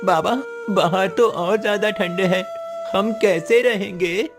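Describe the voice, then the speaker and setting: A man's voice: high voice, slow, over music. A man voicing a frightened, freezing young boy in a cartoon story, anxious and pleading. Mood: anxious, scared.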